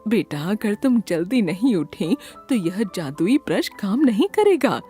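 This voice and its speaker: A woman voicing a gentle, doting grandmother who coaxes a child affectionately in a children's cartoon story. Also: mid-range voice, very animated, measured pace, faint music behind. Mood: coaxing.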